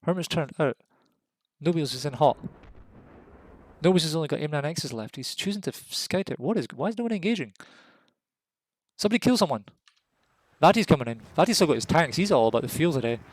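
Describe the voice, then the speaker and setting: A Scottish man commentating a competitive match in a casual, jokey way. His voice sits a little high and leaps up when the action heats up. The sound is dry and close. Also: brisk, long pauses, dry recording. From Scotland. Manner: excitable, rises sharply at kills. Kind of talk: live esports commentary.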